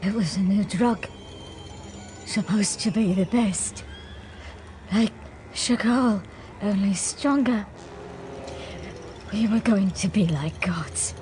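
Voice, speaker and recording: A woman playing a dying teenage drug user in an audiobook drama, weak and bewildered, with a faint, halting delivery. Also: mid-range voice, dark tone, slight background noise, lightly acted. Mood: weak, confiding.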